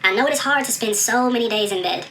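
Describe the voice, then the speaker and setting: A man voicing a small, gentle stepmother, hushed and earnest as they reassure, in a storybook reading. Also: extremely high pitch, fast talker, a little hiss or hum, voice pushed up. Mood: gentle reassurance. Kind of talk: storybook character voice.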